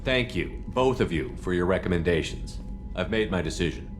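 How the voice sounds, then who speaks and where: A man's voice: low voice, animated, normal speed, over music. A man playing a resolute, decisive spaceship commander in a video game cutscene. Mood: firm, deliberate. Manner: decisive, authoritative orders.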